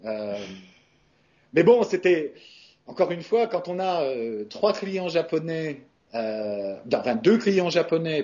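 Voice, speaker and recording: Slightly high-voiced man, muffled recording. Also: mellow. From France. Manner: hesitant, many fillers and restarts. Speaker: voice actor guest. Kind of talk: casual interview answers.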